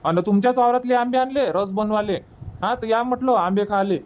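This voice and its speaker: A man's voice: sits high, brisk, lightly acted. A man voicing an eager, teasing village son in a cartoon comedy, insistent and colloquial, with a Varhadi Marathi accent. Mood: coaxing.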